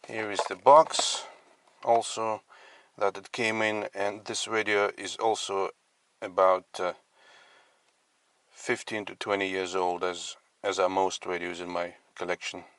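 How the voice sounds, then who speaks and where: A man giving a casual product demonstration as a collector, speaking in halting, piecemeal phrases. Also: low-pitched, flat delivery, a bit bright, slight background noise. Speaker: radio collector presenting his collection. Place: inside a parked car.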